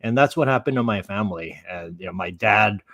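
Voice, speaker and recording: A man's voice: lowish voice, a little fast, fairly bright tone, dry recording, clean studio sound. A middle-aged man with an American accent, an interview guest telling his story in a casual, rambling, wry way. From San Francisco Bay Area, USA.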